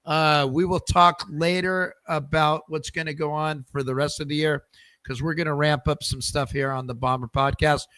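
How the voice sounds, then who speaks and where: A man's voice: on the high side, little pitch movement, moderate pace, sharp tone, clean tone, no room sound. A man hosting a sports podcast, talking casually in a loose, joking, slightly rambling way. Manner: jokey, loose, a bit rambling. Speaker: podcast host. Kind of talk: casual podcast sign-off chat.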